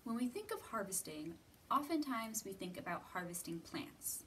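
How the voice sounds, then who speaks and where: A woman's voice: mid-range voice, sing-song, moderate pace, bright, forceful tone. An American woman in her thirties leading a children's storytime lesson in simple, encouraging, instructional phrases. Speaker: children's librarian and storytime host. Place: library room, indoors. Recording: distant mic with background hiss.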